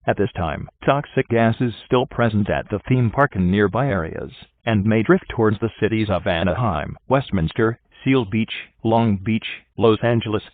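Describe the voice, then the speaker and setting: A man reading a scripted emergency bulletin as an announcer, formal and impersonal, through a radio filter. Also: on the low side. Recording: tinny, narrow phone-like broadcast sound.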